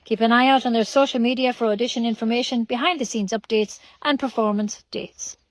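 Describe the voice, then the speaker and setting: A woman's voice: fairly high pitch, steady tone, a little fast, no room sound. A woman with an Irish accent reading scripted local news as a community radio newsreader.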